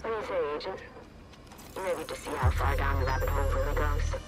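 Man acting a rogue agent, fast, familiar, inviting, fairly high pitch, video game cutscene. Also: natural voice. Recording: music over the voice.

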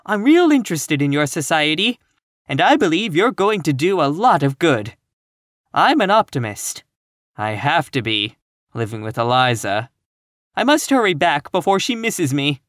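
A narrator voicing a plump, timid but cheerful middle-aged woman in a storybook, earnest and gently insistent in her optimism. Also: medium pitch, sing-song, moderate pace, no echo, studio quality. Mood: breathless, hopeful.

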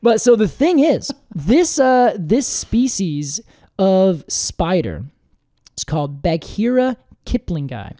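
Podcast host, lively swooping intonation, dry close sound, casual enthusiastic explainer. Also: mid-range voice, slow, no room sound. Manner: animated, enthusiastic, informal.

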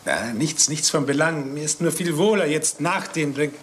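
An actor playing a polite, cultured gentleman murderer in a German radio crime drama. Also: on the high side, normal speed, a little hiss or hum, lightly acted. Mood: polite, curious.

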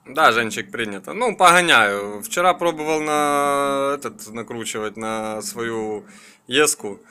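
A man's voice: mid-range voice, unhurried, bright, forceful tone, a little hiss or hum. A man chatting casually as a radio operator, in friendly colloquial language and clipped radio phrases. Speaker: amateur radio operator running an antenna test. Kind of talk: casual ham radio chat.

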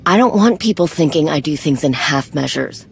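A woman's voice: low voice, animated, moderate pace, vocal fry, a little hiss or hum. A woman playing a tough, guarded young warrior in a video game, speaking bluntly and drily. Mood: guarded, dry. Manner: blunt, dry, no-nonsense. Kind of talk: video game cutscene acting.

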